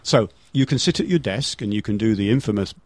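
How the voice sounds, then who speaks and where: Radio host, dry close sound. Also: male voice, on the low side, lively intonation, a little fast, no room sound. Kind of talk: casual local radio talk interview. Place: radio studio.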